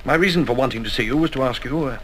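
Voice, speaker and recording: An actor playing a courteous, commanding colonel in a 1960s radio drama, speaking in brisk, formal briefings. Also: male voice, mid-range voice, lightly acted. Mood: courteous, persuasive. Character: genteel, commanding older intelligence colonel, male, dryly courteous. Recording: faintly noisy 1960s flexidisc radio recording.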